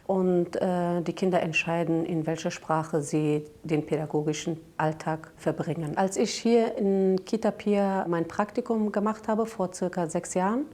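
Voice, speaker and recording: Woman, daycare director, Kurdish native, low and warm, pausing gently. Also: steady tone. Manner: gentle, with frequent filler pauses. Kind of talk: interview statement.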